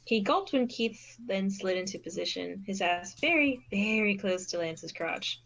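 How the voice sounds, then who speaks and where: A woman's voice: mid-range voice, expressive, unhurried, gravelly, a bit bright. A woman reading a story aloud as narrator, now and then stumbling and repeating a phrase. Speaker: fan fiction reader and narrator.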